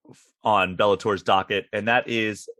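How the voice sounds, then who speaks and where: A man's voice: fairly low pitch, steady tone, normal speed, fairly bright tone, no room sound. A man co-hosting a podcast in an easygoing, self-deprecating way. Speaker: podcast co-host. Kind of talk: casual sports chat.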